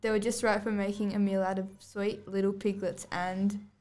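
A woman's voice: mid-range voice, flat delivery, normal speed, a little mellow. A girl of about eleven or twelve reading scripted storybook narration aloud as a student podcast host. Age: child, about 11 to 12.

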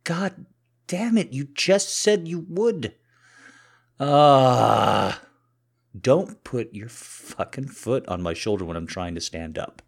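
A man playing a boyfriend in an intimate audio roleplay, speaking to his partner in a husky, halting, conversational way. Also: low voice, lively intonation, a little mellow. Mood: flustered, irritated, pleading. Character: cocky boyfriend, flustered and pleading, turning softly submissive. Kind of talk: intimate solo audio roleplay addressed to the listener.

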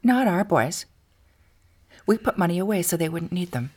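Radio drama: distrustful rural mother in middle age, husky, animated delivery, prying and blunt. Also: on the low side, normal speed. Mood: defensive. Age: middle-aged. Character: suspicious middle-aged farm wife, mother of college boys. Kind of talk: naturalistic audio drama acting.

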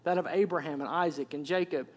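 A senior pastor with a Wisconsin accent preaches in a conversational way, with joking asides and repeated words. His voice sits high for a man. It carries a long reverb from a large church auditorium. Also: fairly even intonation, fast talker. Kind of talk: sermon.

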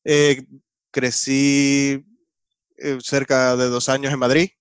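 A man with a Cuban Spanish accent speaks as a podcast guest. His voice is smooth and resonant, and it is recorded dry and close. He talks in a relaxed, explanatory way. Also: medium pitch, slow, no echo. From Cuba. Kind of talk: casual interview chat.

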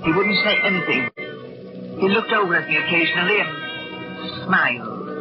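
A woman's voice: lowish voice, expressive, moderate pace, lightly acted. An actress playing a frightened middle-aged aunt who recounts her ordeal in a vintage radio drama. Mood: tense, recollecting. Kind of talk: 1940s-50s radio drama acting and narration. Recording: dull old radio recording, music behind.

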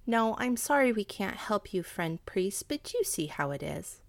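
A woman's voice: medium pitch, sing-song, measured pace, creaky, no echo. A woman voicing a poor, fretful old widow in a storybook reading. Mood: weary complaint. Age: older. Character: poor old Chinese widow, plaintive, fretful, devoted mother.

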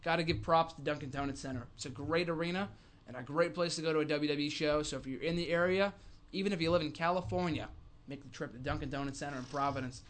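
A man's voice: fairly high pitch, quick pace, a bit bright. A man in his early twenties co-hosting a wrestling podcast, chatting casually and enthusiastically and repeating phrases for emphasis. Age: early 20s. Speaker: co-host of a pro wrestling fan podcast. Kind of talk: casual sports fan chat.

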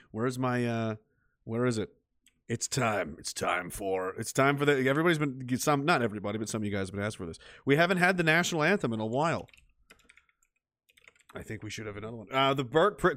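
A man's voice: medium pitch, a bit bright, no room sound, studio quality. Rambling, profane streamer man. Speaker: livestream host. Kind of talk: casual rambling commentary.

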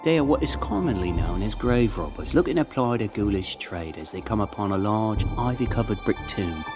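Guy as a theatrical storyteller, lowish voice, really swoopy dramatic narration over music. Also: lively intonation, brisk, lightly acted. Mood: storytelling. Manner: theatrical, florid.

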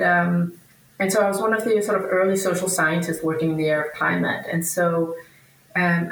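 A woman in her fifties from Denmark, a native Danish speaker, answers interview questions conversationally, thinking aloud in a halting, hesitant way. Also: fairly low pitch, creaky, fairly bright tone. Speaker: podcast guest, researcher and academic. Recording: roomy echo, distant from microphone.

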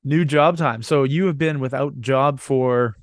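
A man's voice: mid-range voice, measured pace, no room sound, studio quality. A man hosting a podcast in casual chat, rambling and joking, with a casual swear now and then. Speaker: podcast host.

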